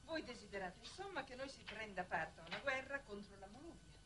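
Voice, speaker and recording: A woman's voice: fairly high pitch, expressive, brisk, lightly acted. An actress playing a blunt, coolly defiant exiled woman in a 1960s Italian television drama, speaking tersely and with restraint. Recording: noisy old 1960s TV soundtrack.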